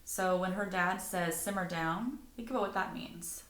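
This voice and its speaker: A woman's voice: on the low side, fairly even intonation, normal speed, fairly bright tone. A woman reading a storybook aloud to children, stopping to put questions to them. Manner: engaging listeners with questions. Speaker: storybook reader. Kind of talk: animated read-aloud to children. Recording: echoey room.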